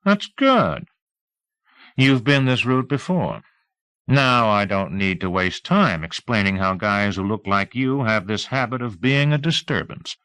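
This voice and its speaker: A man's voice: lowish voice, animated, measured pace, fairly bright tone, clean tone, no echo, rough recording. A man voicing a small, cocky police chief for an audiobook, sardonic and commanding, with mock-friendly needling. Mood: cocky, dismissive.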